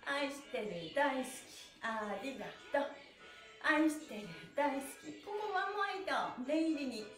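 A bubbly middle-aged woman leads a stretch class from a small indoor studio and chants her counts in cheerful, sing-song bursts. Her voice sits high and swoops widely. A phone camera a few steps away picks her up in a roomy, echoing space with faint music underneath. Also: expressive, measured pace, a bit bright. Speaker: stretch instructor. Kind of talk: live-streamed stretch class. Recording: echoey room.

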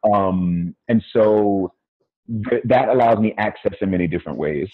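Middle-aged American man, guest on a video call; low and husky, dry tinny line, thoughtful with restarts. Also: normal speed, dry recording. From United States. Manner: reflective, many fillers and restarts. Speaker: podcast guest. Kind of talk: casual conversation. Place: small room indoors. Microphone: earphone mic on video call. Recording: phone-like narrow sound, dry.

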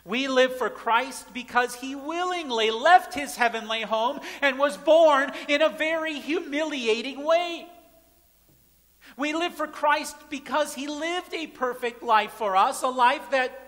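A man in his thirties, a pastor, preaching at a pulpit in a large church sanctuary with emphatic, repeated phrases that swing between loud and soft. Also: extremely high pitch, measured pace. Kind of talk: sermon. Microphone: pulpit mic, far camera. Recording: church hall reverb.

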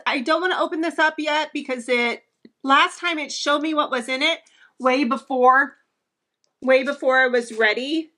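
A woman's voice: high voice, pushed and bright. A woman in her thirties chatting enthusiastically to the camera as she unboxes home decor in her living room, heard through a camera across the room. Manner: chatty and enthusiastic, gushing over items. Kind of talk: casual unboxing chat to camera.

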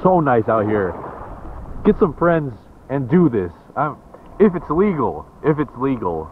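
A man in his thirties talking excitedly to camera on a rocky seashore, recorded on a close action camera. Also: mid-range voice, unhurried, phone-quality sound, noisy background. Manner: excited, upbeat. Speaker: fishing vlogger. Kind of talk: casual talk to camera. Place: outdoors on a rocky shore by the sea.

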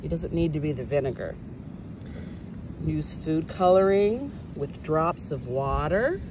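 Female early-years teacher, unhurried and very animated, exclaims and prompts children with questions; windy, noisy outdoor recording. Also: low voice. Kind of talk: hands-on demonstration for children and parents. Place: outdoors in wind and sun.

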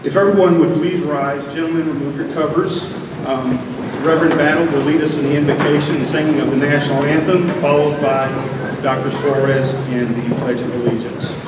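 A man's voice: fairly high pitch, fairly even intonation. A man presiding at a graduation ceremony, formally introducing the people on stage at a podium microphone. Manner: measured, formal announcing. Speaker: college official presiding at commencement. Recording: echoey hall, distant, loud crowd noise.

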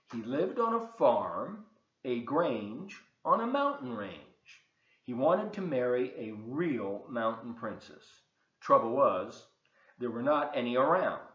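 Folksy older man reading aloud, lively pitch. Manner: folksy, expressive, addressing young listeners. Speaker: volunteer storybook reader. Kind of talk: children's read-aloud with chatty asides. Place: open living room and kitchen, indoors. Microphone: webcam at desk distance. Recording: dull, roomy home webcam recording.

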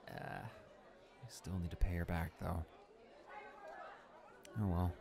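A young man playing a cocky school bully with a hidden soft spot in an audio roleplay, brash and halting as he talks. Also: fairly low pitch. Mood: smug, musing. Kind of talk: dramatic audio roleplay, monologue.

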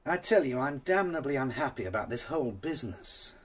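An actor playing an irascible British doctor in a radio drama, blustering, curt and pompous. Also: male voice, medium pitch, big pitch swings, brisk, husky. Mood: indignant, curt. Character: irascible, indignant male country doctor. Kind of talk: BBC radio drama acting.

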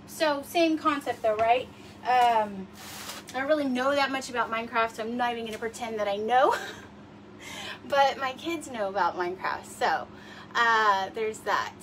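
A woman's voice: high-pitched, fairly bright tone, recorded from a distance, rough recording. A woman in her forties hosting a casual livestream from her home kitchen, chatty and rambling with lots of fillers, a little sniffly. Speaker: livestream host. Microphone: phone camera across the room. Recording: voice a bit off-mic, faint room noise.

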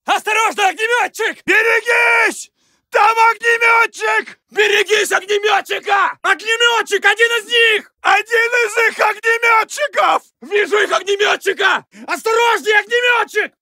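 A man's voice: very high-pitched, steady tone, measured pace, pushed and bright, dry recording, raised voice, clean studio sound, heavily acted. An actor playing an urgent, battle-hardened Russian infantryman in a war game, barking short warnings. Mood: urgent alarm.

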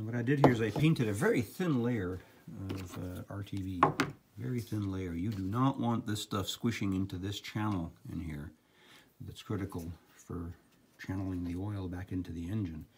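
An older man explaining engine assembly step by step in a garage workshop. He sounds practical and instructive, with quick asides as he works. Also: lowish voice, a little mellow. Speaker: engine builder. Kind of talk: hands-on workshop demonstration.

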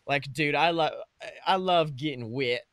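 A man with a fairly high, very bright voice co-hosts a podcast in loose comedic chat. He talks in an animated, sweary way and slips into acted-out quotes. The sound is dry and close. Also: a little slow, no room sound. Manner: animated, profane, acts out imagined quotes. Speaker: podcast co-host.

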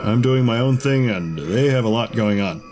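A man's voice: medium pitch, animated, normal speed, music in the background, lightly acted. A man playing a cocky, evasive slacker angel in an audio drama, with a wry, casual manner. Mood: nonchalant. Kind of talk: audio fiction podcast acting.

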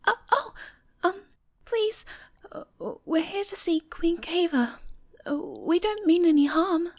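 Actress as timid young moth girl for fantasy ASMR: high-pitched, unhurried, sweet, hesitant. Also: exaggerated voice. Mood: nervous. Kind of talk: ASMR roleplay acting.

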